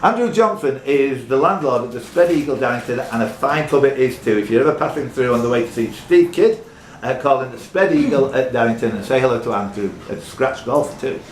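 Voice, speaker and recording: A man's voice: medium pitch, lively intonation, brisk, few pauses, a little hiss or hum. A man hosting a live pub quiz, reading out questions with jokey, teasing, chatty asides. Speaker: quiz host. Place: indoors in a pub. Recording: roomy echo, faint music behind.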